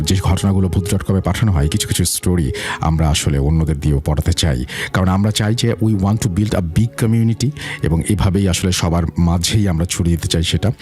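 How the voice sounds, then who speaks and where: Deep-voiced Bangladeshi man hosting. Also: a little mellow. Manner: mixes English words and phrases into talk. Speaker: host of a ghost story show. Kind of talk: conversational show hosting.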